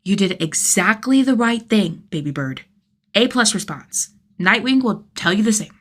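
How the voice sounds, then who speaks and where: A woman voicing a cocky, sardonic young male vigilante with a gruff fondness, in an audio story. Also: medium pitch, lively intonation, moderate pace, pitched above the performer's own voice, lightly acted. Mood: reassuring. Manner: teasing, sardonic, sweary.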